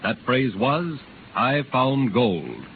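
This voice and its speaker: A man's voice: mid-range voice, very animated, drawn-out words. A male announcer narrates in grand 1930s radio style with widely swinging intonation. The recording is tinny and noisy, like old broadcasts. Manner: grand, declamatory.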